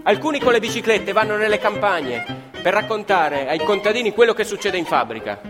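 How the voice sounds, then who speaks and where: A man's voice: high voice, expressive, fairly quick. A man narrating scripted theatre as an actor, dramatic and emphatic in his storytelling. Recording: reverberant hall with live music. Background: live music with violin.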